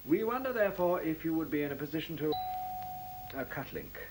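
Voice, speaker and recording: An actor playing a genial, busy middle-aged executive in a science fiction radio play, speaking with hesitations and exclamations. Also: male voice, on the high side, sing-song, fairly quick, background noise. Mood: busy, businesslike. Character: genial, busy male executive in a future world.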